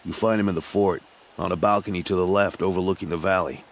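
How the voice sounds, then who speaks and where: An actor playing a gruff, grateful fort soldier in a video game, giving plain advice. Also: male voice, sits low, steady tone, quick pace, a little hiss or hum.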